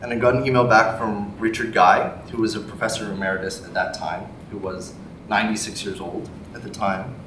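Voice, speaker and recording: A young man from Canada with a Canadian accent, giving a public maths lecture in a relaxed, friendly way with frequent ums. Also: on the low side, fairly quick. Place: lecture hall. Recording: echoey hall from far off, faint noise.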